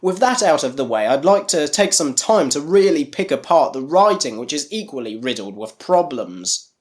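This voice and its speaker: A man's voice: fairly high pitch, lively intonation, moderate pace. A man narrating a scripted review in a critical, dryly sarcastic manner.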